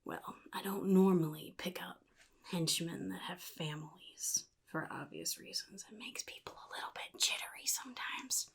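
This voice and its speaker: A woman voicing a chaotic, jittery male clown supervillain in soft-spoken ASMR roleplay, half-whispering and rambling in halting, sly phrases. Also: lowish voice. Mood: rambling, teasing. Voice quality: breathy, gravelly, half-whispered. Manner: halting, rambling, sly, addresses listener as kid.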